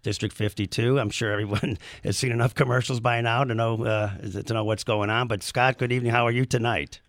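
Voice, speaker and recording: A man hosting a live radio talk show from a studio, slightly hoarse, chatty, with frequent fillers and laughs. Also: medium pitch, fairly even intonation, fairly quick, dry recording. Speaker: radio host. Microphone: studio microphone.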